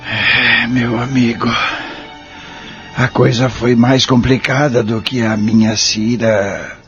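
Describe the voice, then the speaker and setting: Actor as a slow, frail old Brazilian man. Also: medium pitch, level tone, soft background music. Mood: resigned. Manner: gentle, formal, measured. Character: ailing old husband and father, frail, serenely resigned. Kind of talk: radio drama acting. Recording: dull lo-fi audio drama recording.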